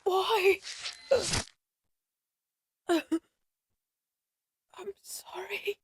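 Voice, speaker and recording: Woman acting a high-voiced, forsaken, pleading goddess. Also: flat delivery, exaggerated voice. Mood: shocked, dying. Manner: slow, formal, plaintive. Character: forsaken ancient goddess, pleading sorrowful sister. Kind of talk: dramatic audio roleplay acting.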